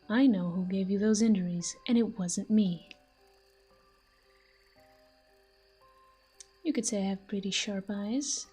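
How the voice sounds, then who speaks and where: A woman playing a possessive, teasing fantasy villain in an intimate audio roleplay, with a soft, breathy voice and a smug manner. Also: mid-range voice, lightly acted. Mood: smug, probing. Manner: smug, taunting, breathy murmurs. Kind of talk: intimate scripted audio roleplay addressed to the listener.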